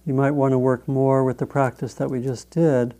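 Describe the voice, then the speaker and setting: A man guiding a meditation as a teacher, speaking in a measured, gentle way with long pauses between phrases. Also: mid-range voice, flat delivery. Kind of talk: guided meditation instruction.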